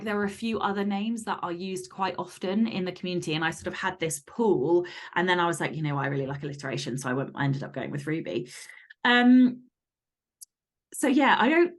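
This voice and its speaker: A woman speaking as an author guest in a casual interview, explaining things in a candid, informal and detailed way. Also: medium pitch. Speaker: podcast guest, fiction author.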